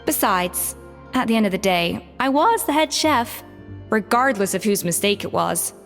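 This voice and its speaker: An actress playing a proud, composed female former head chef in a video game, formal and measured. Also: medium pitch, animated, in a large hall, soft background music, close to an everyday voice. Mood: composed, resolute.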